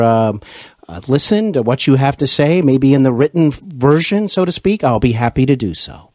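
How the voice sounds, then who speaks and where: An effusive man hosts a conversational interview podcast. His pitch moves in lively swings around a medium register. The sound is close and dry, and he is generous with compliments. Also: no room sound.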